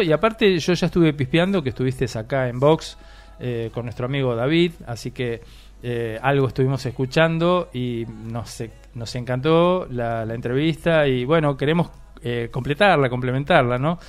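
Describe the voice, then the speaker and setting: A man's voice: medium pitch, moderate pace, faint music behind. Argentine man in his sixties, radio host, rambling, hesitant with fillers. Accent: Argentine Spanish. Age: 60s. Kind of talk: warm radio interview.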